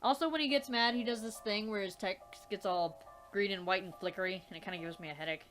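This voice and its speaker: A woman's voice: medium pitch, quick pace, a bit bright, faint music behind, poor audio. A woman hosting a let's play, reading the game's narration aloud and commenting with exasperated swearing and laughter.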